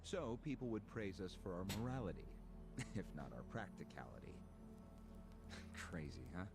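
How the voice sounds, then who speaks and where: A man playing a calculating, cynical political operative in a video game, measured and smug as he explains. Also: low-pitched, very animated, gravelly, heavily acted. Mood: cynical, confident. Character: calculating, cynical male political operative recounting a grand scheme. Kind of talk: English video game voice acting. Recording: game audio with music behind. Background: game music.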